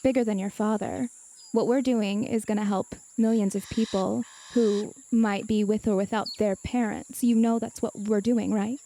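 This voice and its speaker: A woman playing a tabletop game in character, speaking in a probing, measured way. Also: mid-range voice, fairly even intonation, warm tone. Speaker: player.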